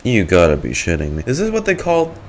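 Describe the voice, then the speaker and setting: A man's voice: fairly low pitch, huge pitch swings, fairly quick, a little hiss or hum. A man giving casual, slangy live reactions as a game streamer, with a wry manner. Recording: loud game music behind.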